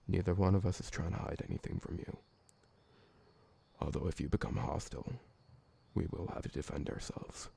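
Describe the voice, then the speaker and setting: A man performs a loyal male vampire assistant in an intimate ASMR roleplay. His voice is very low and heavily creaky, with a husky grain. He is courteous and formal, fussy in a bookish way, and given to rambling. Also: normal speed, warm tone, heavily acted. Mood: earnest, reminiscing. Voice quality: gravelly, husky. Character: polite, loyal old vampire servant, fussy former bookkeeper, male.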